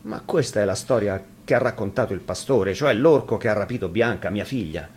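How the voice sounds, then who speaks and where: A man's voice: on the low side, fairly quick, a little mellow, slight background noise, lightly acted. A man voicing a troubled, skeptical father in a fairy tale, full of questions. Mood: bewildered, doubtful.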